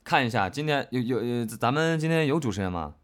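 A man's voice: mid-range voice, expressive, fairly quick. A man who works as a voice actor, hosting a casual livestream in a playful, joking manner. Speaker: voice actor, guest and host.

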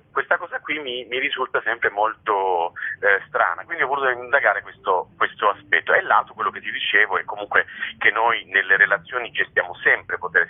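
A man's voice: on the low side, husky. A man giving reflective, explanatory answers in a spontaneous interview, often checking in with a short tag question. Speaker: playwright and theatre director being interviewed. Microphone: telephone. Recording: muffled narrow-band telephone line.

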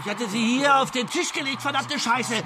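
An actor playing a frantic bandleader who curses and shouts backstage. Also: male voice, very high-pitched, normal speed, background noise. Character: frantic male bandleader hunting his pills.

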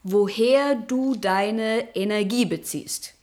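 A woman's voice: medium pitch, slow pace, darkish tone, close to an everyday voice. A woman playing an inquisitive traveller in a radio play, wry and probing in the way she asks questions. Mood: curious. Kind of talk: German radio play acting.

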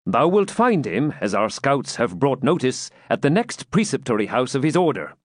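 A plain-spoken outlaw captain of storybook legend, acted by a male reader speaks with grave command in old-fashioned phrases. The mid-pitched voice moves expressively, recorded dry and close. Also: big pitch swings, normal speed, no room sound. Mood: brisk command. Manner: grave, commanding, archaic speech.

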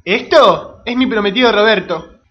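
A woman's voice: mid-range voice, normal speed, a bit bright, lo-fi sound, lightly acted. A woman with an Argentine accent playing a brusque, impatient young girlfriend in a comic radio serial. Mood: eager. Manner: curt, bossy.

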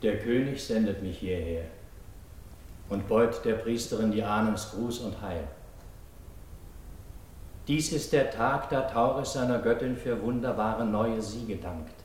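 An echoey radio drama recording with some rumbling noise carries a loyal royal messenger. He declaims classical verse in a lowish voice, formal, earnest and full of reverence. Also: takes it slowly, pauses often, darkish tone. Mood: formal, dutiful.

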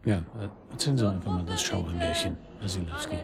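An actor playing a dry, wry and sceptical police chief inspector in a German radio drama, with a hesitant manner. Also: male voice, sits low, animated, a little fast, vocal fry, over music. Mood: questioning, dry. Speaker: detective.

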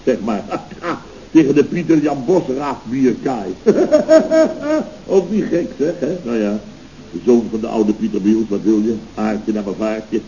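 A man's voice: mid-range voice, lively intonation, slow pace, warm tone. A man playing a pompous, indignant, self-satisfied building contractor in a scripted radio comedy, blustering and rambling in grand words. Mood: smug, self-amused. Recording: dull, noisy old radio recording.

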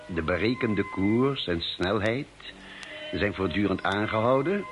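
Expressive spaceship doctor, lively intonation. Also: male voice, lowish voice, measured pace, over music. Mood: grave narration. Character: steady male ship's doctor on a Mars expedition. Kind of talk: radio drama acting and narration.

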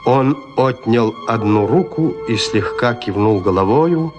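A man's voice: medium pitch, expressive, a little slow, music in the background. A man narrating a literary story as the scripted narrator of an audio drama.

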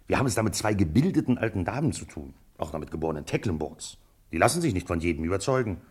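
An actor playing a shrewd, self-assured police chief in a radio drama, speaking deliberately and persuasively in an official manner. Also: male voice, low voice, big pitch swings, slightly rough, exaggerated voice. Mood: firm, persuasive. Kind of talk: German radio drama acting.

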